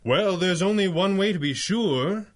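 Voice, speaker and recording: A man's voice: high voice, animated, a little slow. A man playing a composed, politely probing masked detective hero in a 1930s-style radio drama. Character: suave, composed male masked superhero detective.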